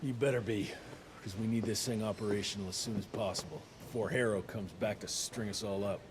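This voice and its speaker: A man's voice: on the low side, steady tone, brisk, background noise, natural voice. An actor playing a blunt, tough male field agent who talks impatiently. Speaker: cutscene character.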